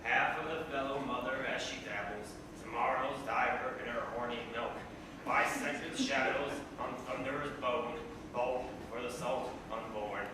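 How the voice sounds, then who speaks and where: A man's voice: mid-range voice, steady tone, unhurried. A man giving a theatrical, mock-solemn comic reading of literature to a live audience. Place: echoey venue before a live audience. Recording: very echoey room, distant mic, noisy.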